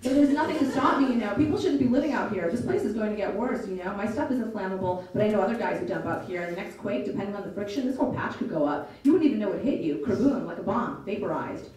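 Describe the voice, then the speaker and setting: A woman's voice: mid-range voice, expressive, fast talker, hardly any pauses, darkish tone, natural voice. A woman voicing a burly, brash man who dumps trash, speaking in an offhand, dismissive way. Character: burly brash adult male trash dumper. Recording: echoey room, distant mic, faint noise.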